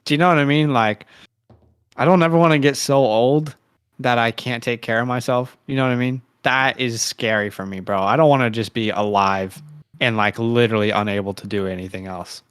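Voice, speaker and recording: A man's voice: medium pitch, fairly even intonation, brisk, a bit bright, smooth, no room sound, clean studio sound. A man in his late twenties co-hosting a casual sports livestream, talking informally with plenty of slang. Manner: informal slang, chummy address. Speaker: co-host of a fantasy football show.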